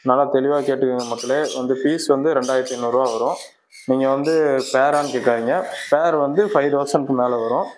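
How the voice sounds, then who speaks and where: Male host, brisk chatty interviewer recorded in a bird aviary with loud bird noise. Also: medium pitch, steady tone. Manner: quick questioning, repeats answers to viewers. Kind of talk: casual farm-visit interview. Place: indoor aviary full of cages. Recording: crowd of squawking birds behind.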